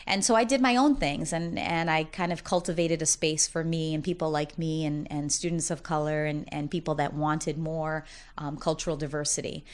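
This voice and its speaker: A woman's voice: deep voice, fairly even intonation, normal speed. A woman in her forties, a college dean, chatting in an upbeat, talkative way during an interview. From United States, Massachusetts. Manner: talkative, upbeat storyteller who often laughs while talking. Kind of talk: casual interview conversation.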